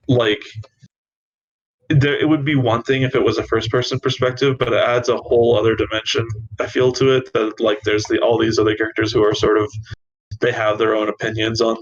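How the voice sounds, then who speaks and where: A young man speaks in a flat, level tone, rambling through his points deadpan and throwing in dry jokes. The recording comes through a dull, lo-fi mic with a faint hiss behind the voice. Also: lowish voice. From Canada. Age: young adult. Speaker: podcast co-host. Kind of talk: casual chat.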